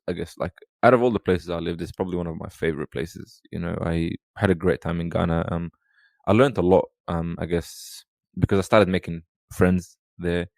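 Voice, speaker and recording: A man from Sudan, in his thirties, talks through memories in a loose, rambling way. His voice is very low with a gravelly edge. The sound is dry and close, with no room around it. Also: a little mellow, dry recording. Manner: rambling, full of fillers like "you know". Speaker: podcast guest. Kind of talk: casual storytelling conversation.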